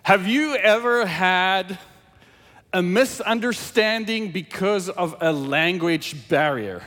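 A man's voice: sits high, slow, in a large hall. A South African man whose first language is Afrikaans, preaching a sermon with scripture reading and asking his audience questions. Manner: animated, engaging the audience with questions. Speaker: pastor.

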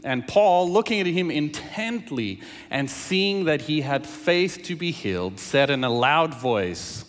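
A South African pastor, native Afrikaans speaker, preaching with a fairly high voice. He engages his listeners with lively questions, and his voice rings with the long reverb of a large hall. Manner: animated, engaging the audience with questions. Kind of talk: sermon with scripture reading.

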